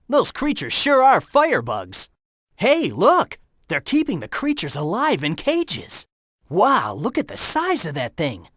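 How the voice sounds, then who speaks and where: A man's voice: sits high, fairly bright tone, no room sound, clean studio sound, exaggerated voice. An actor voicing a cocky, upbeat young male hedgehog hero in a video game, giving breezy, encouraging hints.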